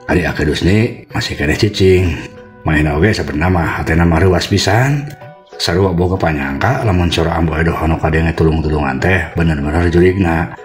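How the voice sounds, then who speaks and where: Low-voiced Sundanese male storyteller. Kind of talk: dramatic folk tale narration.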